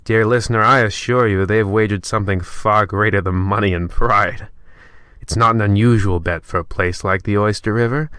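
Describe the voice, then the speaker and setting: A low-voiced, creepy horror host acted by a man narrates an old-time radio play. He is theatrical and ornate, savoring the macabre with ominous relish, in a close, dry sound. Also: steady tone, moderate pace, fairly bright tone, clean tone, no room sound, lightly acted.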